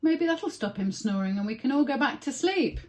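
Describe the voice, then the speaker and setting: Woman voicing eager, high-pitched storybook farm animals. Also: animated, moderate pace, darkish tone. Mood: pleading, suggesting. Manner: exclamatory, playful. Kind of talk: children's storybook read-aloud.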